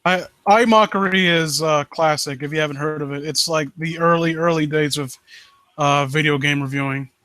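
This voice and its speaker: A man's voice: on the high side, fairly even intonation, normal speed, bright, forceful tone, dry recording. A man hosting a live stream in casual chat, blunt and given to swearing. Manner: blunt, profane. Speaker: host of a live critique stream.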